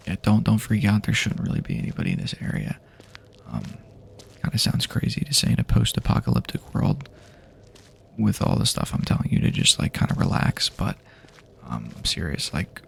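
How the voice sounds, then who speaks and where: Male ASMR performer as weary wasteland survivor: breathy, heavy fry, pitch drifting widely, halting improvised delivery, some background noise. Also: a bit bright. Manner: hesitant, rambling, trailing off. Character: weary, wary but reassuring male survivor of an apocalypse. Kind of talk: soft-spoken ASMR roleplay, improvised.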